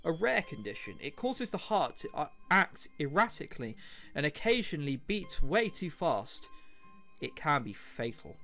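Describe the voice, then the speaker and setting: A man with a slightly high, very lively voice reads a visual novel aloud for a let's play. He drops chatty, wry remarks between the lines and trips over the odd word. Music plays behind him. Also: normal speed, gravelly. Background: background music.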